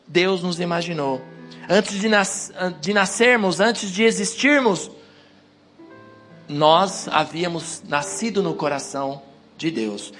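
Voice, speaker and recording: Hoarse guy preaching into a handheld mic, kinda high voice, soft music underneath. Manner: exhorting the congregation, rising to emphatic peaks. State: hoarse with a cold. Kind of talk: sermon. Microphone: wireless handheld mic.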